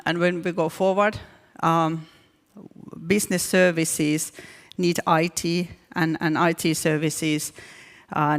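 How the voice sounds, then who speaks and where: Finnish woman with a flat, gravelly voice. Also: deep voice. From Finland. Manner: hesitant, with frequent restarts and fillers. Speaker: keynote speaker. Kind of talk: conference presentation. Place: conference hall. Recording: reverberant conference hall.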